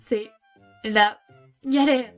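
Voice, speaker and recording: A woman's voice: on the high side, fairly even intonation, very slow, a bit bright, faint music behind. A woman who voices a part in a fan dub, sounding playfully exasperated. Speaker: fandub voice actress. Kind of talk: casual recorded answer.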